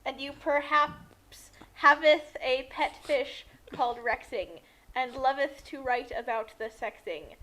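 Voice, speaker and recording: A woman's voice: high-pitched, slow, sharp tone. A woman giving casual comedic commentary in a giggly, incredulous manner.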